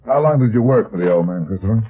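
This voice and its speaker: An actor playing a tough, wisecracking private detective in an old-time radio drama, clipped and slangy. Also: male voice, lowish voice, lively intonation, fast, breathy edge. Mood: probing. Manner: clipped, hard-boiled, slangy. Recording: muffled, phone-like old radio recording.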